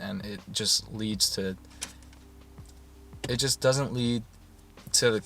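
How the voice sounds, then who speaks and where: A man talking in a soft, soothing voice in a casual rambling monologue, full of fillers and restarts. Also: lowish voice. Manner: soft-spoken, meandering, many fillers and restarts. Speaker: YouTuber.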